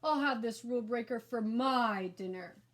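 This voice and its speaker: A woman voicing a hungry, menacing monster stove with loud, drawn-out delivery in a storybook reading. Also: fairly high pitch, close to an everyday voice.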